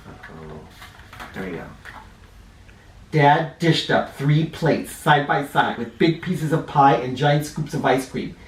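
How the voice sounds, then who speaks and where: A man reads a picture book aloud to children with a very animated, swooping delivery. He leans on key words for dramatic effect and addresses young listeners directly. The sound is roomy and echoing, a little away from the microphone. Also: medium pitch, takes it slowly, far from the mic. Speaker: children's storybook reader. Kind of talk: expressive read-aloud storytelling for children.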